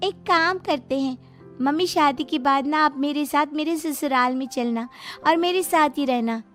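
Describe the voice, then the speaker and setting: A woman's voice: sits high, normal speed, fairly bright tone, soft background music. A woman voicing a devoted young adult daughter in a cartoon, earnest and eager. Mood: caring, earnest.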